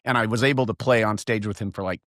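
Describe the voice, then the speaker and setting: A man around fifty, a podcast guest, telling a story in an animated, earnest way and pressing his points with emphasis. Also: lowish voice, quick pace, dry recording, clean studio sound. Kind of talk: casual podcast conversation.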